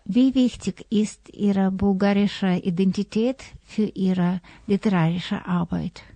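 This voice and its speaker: Slow, mellow woman interviewer, dry sound. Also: medium pitch, steady tone, dry recording. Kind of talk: interview questions.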